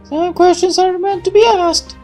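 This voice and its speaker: Woman doing a high-pitched, cautious young woman. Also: steady tone, moderate pace, a little mellow, faint music behind. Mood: urgent warning. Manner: earnest, cautioning. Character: young cautious female factory worker, earnest and protective.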